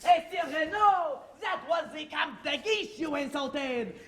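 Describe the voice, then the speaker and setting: Loud, high-pitched stage soldier. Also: male voice, lively intonation, measured pace, some chatter behind, full character voice. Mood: urgent. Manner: declamatory, projecting. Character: alert, loud soldier comrade in a period stage play. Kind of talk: theatrical stage acting.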